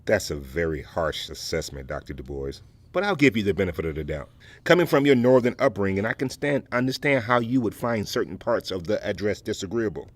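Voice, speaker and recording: An actor playing a dignified, proud Southern educator and orator in an audio play, speaking in a formal, measured, courteous way. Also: male voice, on the low side, very animated, no echo. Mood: stung, measured. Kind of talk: dramatic audio play dialogue.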